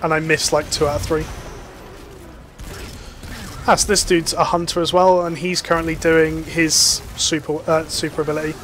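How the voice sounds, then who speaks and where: A man's voice: on the high side, flat delivery, normal speed, long pauses, a bit bright, slight background noise. A young man casually commenting on his gameplay, explaining things with lots of fillers. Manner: chatty, explanatory, many fillers. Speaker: gaming YouTuber.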